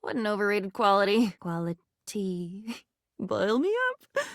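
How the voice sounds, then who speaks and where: A woman's voice: mid-range voice, takes it slowly, no echo, clean studio sound. An actress voicing a volatile, lonely, dreamy woman in a video game, rambling theatrically from one non sequitur to the next. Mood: scattered, cryptic. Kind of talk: video game character voice acting.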